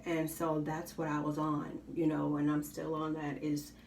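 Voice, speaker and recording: A soft-spoken woman chatting casually to her viewers while getting ready, rambling with many ums and you knows. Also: sits low, completely flat delivery, normal speed, gravelly, a little hiss or hum. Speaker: vlogger. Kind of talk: casual chat while doing makeup. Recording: roomy, slightly distant home recording.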